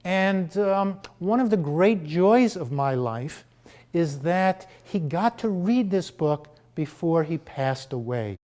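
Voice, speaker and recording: A middle-aged American man, a children's book author, speaking in an interview in an unhurried, thoughtful way, with many fillers. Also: high-pitched, expressive, darkish tone. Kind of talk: interview conversation about his book.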